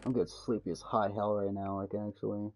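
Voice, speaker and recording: Gravelly laid-back streamer guy. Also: on the low side, little pitch movement, a little fast, a little mellow, low-quality recording. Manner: laid-back, short replies, swears casually. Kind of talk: casual chat on a game stream.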